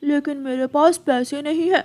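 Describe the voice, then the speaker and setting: Woman playing a squeaky little boy crow. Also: steady tone, moderate pace, darkish tone, natural voice. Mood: childish. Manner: pleading, childlike. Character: young boy crow, mischievous and whiny. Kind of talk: Hindi cartoon story voice acting.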